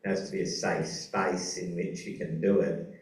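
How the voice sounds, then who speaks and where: Low-voiced man, roomy hall. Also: flat delivery. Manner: measured, thoughtful, with hesitations. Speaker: panelist. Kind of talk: panel discussion at a conference. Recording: roomy conference hall, mic far off.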